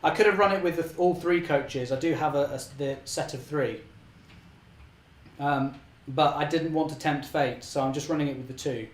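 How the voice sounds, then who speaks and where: A young man, slightly hoarse, chatting cheerfully through a live model railway session and correcting himself often. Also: on the high side, normal speed, echoey room. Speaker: model railway channel host. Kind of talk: live-stream running commentary.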